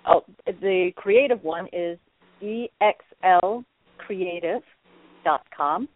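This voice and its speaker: A woman's voice: on the low side, slow, dry recording. A woman improv actor and coach, a guest on a phone interview, talking animatedly and restarting her words. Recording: dry, narrow telephone line.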